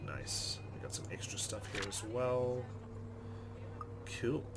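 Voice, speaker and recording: A man's voice: medium pitch, expressive, slow, heavy background noise. A man hosting a let's play, reading game narration aloud with casual commentary.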